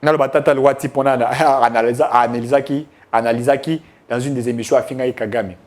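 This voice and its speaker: A man's voice: mid-range voice, fairly even intonation, brisk. A man giving emphatic, declamatory political commentary, mixing French with Lingala.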